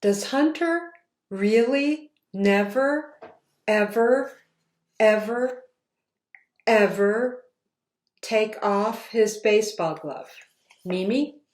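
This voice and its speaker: A woman author reading her children's book aloud in slow, expressive storytelling. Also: medium pitch. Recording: roomy echo.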